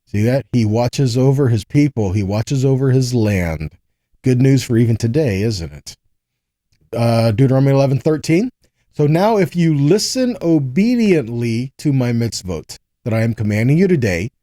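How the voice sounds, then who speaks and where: A man's voice: medium pitch, animated, normal speed, warm tone, dry recording, clean studio sound. A man giving a conversational Bible teaching, reading verses aloud and explaining them earnestly, often checking in with short rhetorical questions.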